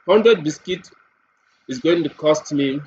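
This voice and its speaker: A man presents a software demo step by step, deliberately, repeating phrases and posing questions to himself as he goes. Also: a little high, animated. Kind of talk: step-by-step screen tutorial narration. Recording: dull lo-fi mic with clear background noise.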